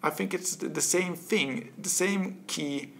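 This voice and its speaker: Swedish man, 40s, interview guest; bright edge, some fry; stumbles and repeats words mid-thought. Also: high voice, measured pace, a little hiss or hum. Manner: animated, gestures a lot, repeats words while searching. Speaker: guest, author. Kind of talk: casual interview talk. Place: small office studio with bookshelves, indoors. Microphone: desk studio mic.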